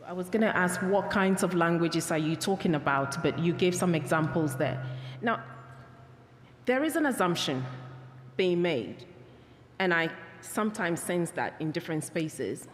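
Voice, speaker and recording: A woman from Ghana with a Ghanaian accent, giving an earnest, reflective talk on peacebuilding and answering questions, often restarting her phrases. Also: on the low side. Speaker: peacebuilding speaker. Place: church hall. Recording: reverberant church hall.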